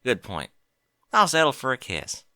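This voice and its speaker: A man's voice: mid-range voice, huge pitch swings, normal speed, sharp tone, dry recording, studio quality, pitched above the performer's own voice, heavily acted. A man voicing a friendly, down-to-earth country pony mare with an easygoing drawl.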